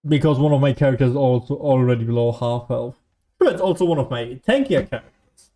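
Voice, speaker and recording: Mellow-voiced man rambling over gameplay. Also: medium pitch, lively intonation, brisk. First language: German. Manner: rambling, self-deprecating joking. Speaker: video game streamer. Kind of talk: casual gameplay commentary.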